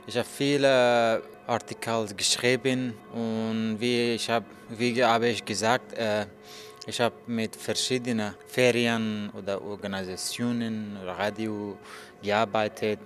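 Man, 30s, slow halting speech, fillers and restarts, lowish voice, faint music behind. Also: little pitch movement. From Afghanistan. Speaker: journalist telling his story. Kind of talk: hesitant interview answers in learner German.

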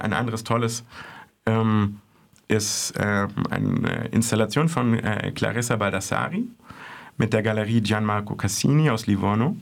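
A man with a fairly low voice talks through his report in a radio studio. He speaks hesitantly as a correspondent, with frequent fillers and restarts. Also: gravelly. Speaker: art correspondent. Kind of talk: casual radio chat, reporting on art fairs.

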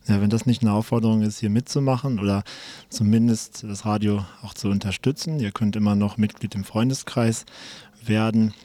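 A man's voice: fairly low pitch, steady tone, brisk, warm tone. A man hosting a morning radio show in an informal live style.

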